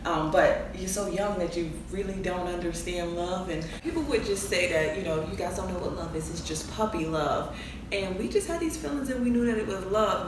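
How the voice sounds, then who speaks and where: A woman in her thirties speaks casually, as if among a group, telling stories from her own life. Her voice sits a little low. She is recorded from well off the mic in a heavily echoing room with clear background noise. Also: recorded from a distance. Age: 30s. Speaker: panelist on a relationship talk show. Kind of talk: casual group chat, personal storytelling.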